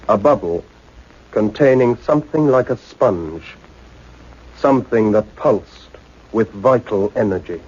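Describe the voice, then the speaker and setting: An actor playing a sombre male narrator in a radio play, measured and formal as he presents recorded accounts. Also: medium pitch, dark tone, husky, dull audio. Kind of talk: scripted radio drama narration.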